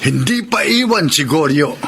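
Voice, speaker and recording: An actor playing a kind, fatherly older neighbor in a radio drama, speaking gently and with measured concern. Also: on the high side, sing-song, gravelly, a little hiss or hum, exaggerated voice. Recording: music bed under the voice.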